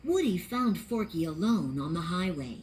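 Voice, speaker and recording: Expressive woman reading a storybook. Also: on the low side, moderate pace, background noise. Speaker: narrator. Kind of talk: read-along storybook narration.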